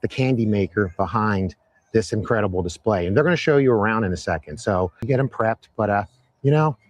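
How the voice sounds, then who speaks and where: A hoarse man hosting a live-stream interview outdoors, upbeat and fond of repeating phrases for emphasis. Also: fairly low pitch, animated, brisk. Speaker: host. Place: outdoors at a Christmas light display by a road.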